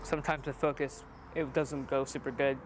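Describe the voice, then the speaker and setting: A man's voice: medium pitch, flat delivery, normal speed, noisy background. A man of twenty-one chatting casually in a rambling, self-deprecating, playfully joking way. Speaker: interviewee, amateur videographer. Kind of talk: casual chat.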